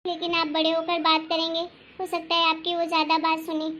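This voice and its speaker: A woman voicing a gentle, considerate elder daughter-in-law who reasons softly and respectfully in a family story. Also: very high-pitched, monotone, a little fast, slight background noise. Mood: concerned, considerate. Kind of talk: acted dialogue in Hindi family story.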